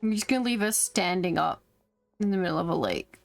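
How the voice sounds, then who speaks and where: A woman's voice: fairly low pitch, animated, brisk, creaky. A woman game streamer giving casual live reaction commentary. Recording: game music faintly behind.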